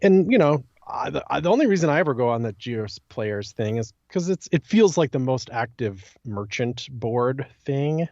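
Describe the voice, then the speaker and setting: A man's voice: medium pitch, sing-song, normal speed, few pauses, a little mellow, dry recording, highs cut off. A man talking casually on a podcast in an informal discussion. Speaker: podcast co-host or guest. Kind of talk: casual chat.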